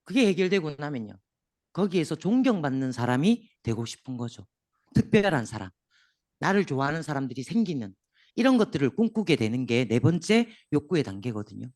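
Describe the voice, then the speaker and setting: Hoarse guy lecturing business owners, voice bouncing up and down a lot, dry close sound. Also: a little high, brisk, no echo. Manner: lively, jokes with the audience, asks questions. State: hoarse from a sore throat. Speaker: seminar lecturer. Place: lecture room in front of an audience.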